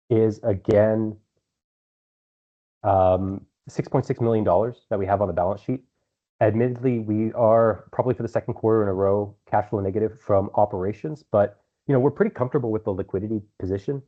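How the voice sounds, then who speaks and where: A man speaking as a company executive, answering investor questions on an earnings call in measured phrases with some repeated words. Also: lowish voice. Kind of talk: earnings call answers, semi-prepared business talk. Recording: dull, band-limited conference call audio.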